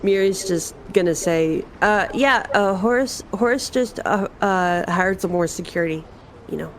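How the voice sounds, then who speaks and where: A woman's voice: mid-range voice, normal speed, a little hiss or hum. A woman chatting casually as a player in a tabletop roleplaying game.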